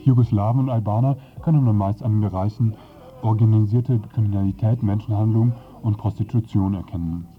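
A man's voice: on the low side, brisk. A man reading a scripted satirical mock report as a radio presenter. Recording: dull lo-fi radio recording over music. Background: music.

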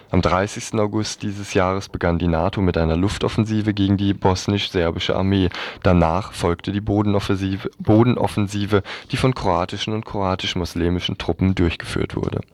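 A man's voice: low voice, darkish tone, no room sound. A man reading scripted radio news in a sober, matter-of-fact manner.

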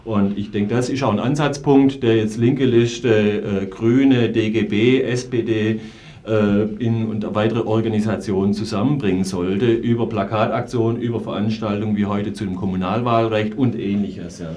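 A man's voice: lowish voice, steady tone, normal speed, warm tone. Earnest man in a political discussion. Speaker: political activist interview guest.

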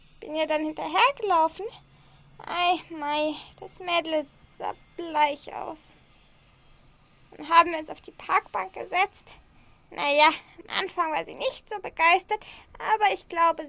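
Young woman playing a very high, creaky old granny. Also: sharp tone, no echo, full character voice. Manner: fussing, chatty, sighing, uses southern German dialect words. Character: lonely, caring, insistent old grandma. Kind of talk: amateur youth radio play acting.

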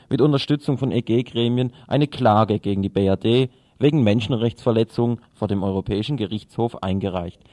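Man, radio news presenter, scripted reading, dark relaxed timbre, very dry sound. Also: mid-range voice, dry recording.

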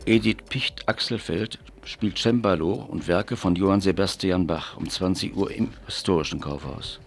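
A man presenting on morning radio, reading out local event listings in a halting, list-like way and repeating key details. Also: low voice, music in the background. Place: radio studio.

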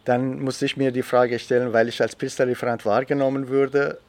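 Man, medium pitch, reflective, halting, interview storytelling. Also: little pitch movement, darkish tone, dry recording. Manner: reflective, with frequent fillers and restarts. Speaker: interviewee, education trainer. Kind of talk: interview narrating a personal story.

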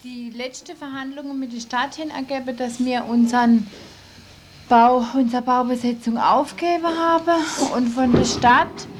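A woman talks informally in a field interview. Her voice is fairly high, and clear background noise from the site surrounds it. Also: fairly even intonation, unhurried. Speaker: interviewee, protesting childcare worker. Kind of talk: casual spoken interview. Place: on site beside a building site.

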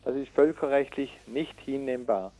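A man speaks in a radio interview as a campaigner. His voice is dark and mellow at an average pitch. He proceeds haltingly, correcting himself, and words his points with indignant emphasis. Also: rough recording. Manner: halting, with many hesitations and self-corrections. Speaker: activist interviewee from a citizens' environmental initiative. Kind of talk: radio interview, reading out figures and arguing.

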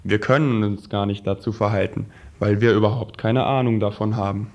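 A man with a fairly low voice reads a scripted political text aloud as a radio presenter. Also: fairly even intonation, fairly quick, darkish tone, lo-fi sound.

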